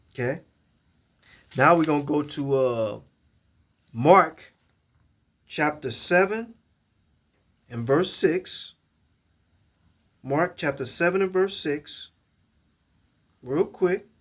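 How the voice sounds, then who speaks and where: A man's voice: on the high side, takes it slowly, pauses often, fairly bright tone. A man giving a scripture lesson to a camera, emphatic and often repeating and restarting his words as he reads verses aloud. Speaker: Bible teacher.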